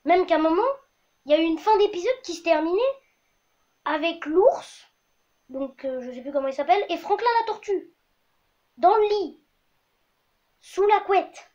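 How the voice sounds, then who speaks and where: High-pitched woman ranting comically. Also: lively intonation, normal speed, long pauses, darkish tone, muffled recording. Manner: crude, slangy, exasperated exaggeration. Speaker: host of a comedy web show. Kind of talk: comic rant to camera.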